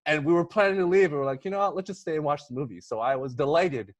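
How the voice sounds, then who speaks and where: A man in his thirties hosting a casual movie podcast, talking in a halting, bantering way and teasing the others. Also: on the high side, no room sound. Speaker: podcast host.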